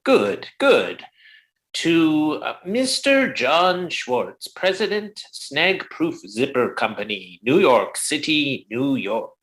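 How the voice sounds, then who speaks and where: A woman's voice: low-pitched, very animated, slow pace, exaggerated voice, low-quality recording. A woman playing an exasperated, sarcastic male office boss, blustery and withering, in an old-time radio comedy. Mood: dictating.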